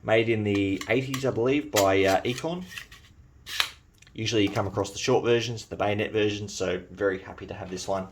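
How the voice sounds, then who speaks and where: A man's voice: lowish voice, fairly quick, slight background noise. A man from New Zealand with an Australian accent, chatting enthusiastically as a collector showing his collection in a casual vlog, with frequent fillers. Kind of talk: casual show-and-tell vlog.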